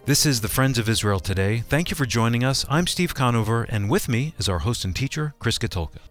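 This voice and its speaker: A man's voice: medium pitch, animated, fairly quick, a bit bright. A man reading a scripted introduction as the announcer and co-host of a radio program. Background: music bed.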